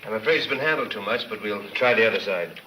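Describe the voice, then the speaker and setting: An actor playing a businesslike investigator in a 1940s film crime serial. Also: male voice, lowish voice, fast, background noise, close to an everyday voice. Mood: intent. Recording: echoey 1940s film soundtrack, music behind.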